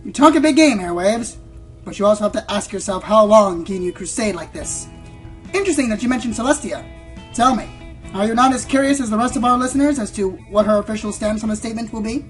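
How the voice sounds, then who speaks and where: A woman's voice: a little high, expressive, quick pace, lightly acted. A woman playing a probing, smug female pony news reporter in a radio play, with a dramatic, insinuating delivery. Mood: smug, challenging. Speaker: interviewer. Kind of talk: radio play acting, theatrical interview. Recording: music behind the voice.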